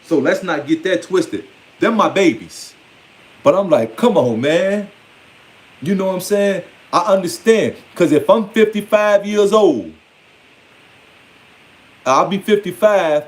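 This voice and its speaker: A man in his forties with an American accent and a high voice speaks informally to his followers. His delivery is streetwise and emphatic, rambling from thought to thought and often slipping into quoted asides. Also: expressive, unhurried, pauses often. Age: 40s. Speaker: online speaker. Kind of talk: informal spoken monologue to followers.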